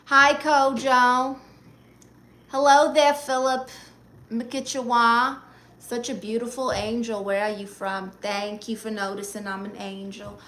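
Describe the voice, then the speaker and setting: A woman runs her own live stream, greeting viewers one by one and tossing off sassy, joking replies. Her voice is bright and forceful, set a little high for a woman. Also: level tone. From Brooklyn, New York. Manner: sassy, playful, quick comebacks. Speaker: livestreamer. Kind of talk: casual live-stream chat, reading out viewer comments.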